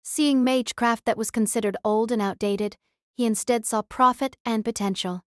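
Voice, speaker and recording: A woman's voice: fairly high pitch, steady tone, moderate pace, no echo, clean studio sound. A woman reading scripted story narration as an audiobook narrator.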